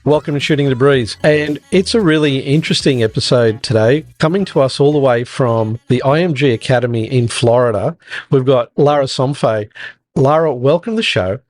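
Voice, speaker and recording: A man hosting a sports podcast, reading an introduction and then asking questions in a relaxed way, with "sort of" and "you know". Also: mid-range voice, normal speed, faint music behind. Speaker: podcast host.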